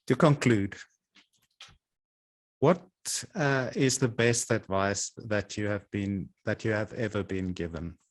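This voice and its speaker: A man hosting an interview who asks his questions in a hesitant, halting way. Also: fairly low pitch. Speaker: interviewer, channel host.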